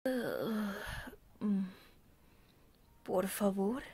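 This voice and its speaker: A woman's voice: mid-range voice, animated, very slow, warm tone, husky, voice pushed down, exaggerated voice. Woman voicing an exasperated, lovestruck teenage boy. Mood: weary.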